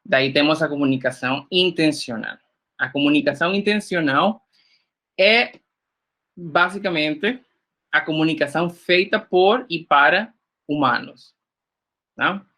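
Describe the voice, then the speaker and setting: Nicaraguan man lecturing, bright voice. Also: fairly high pitch, measured pace, long pauses. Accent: Nicaraguan Spanish. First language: Spanish. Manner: casual, joking, many fillers like "né" and "é". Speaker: graphic designer teaching a workshop. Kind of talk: online workshop lecture.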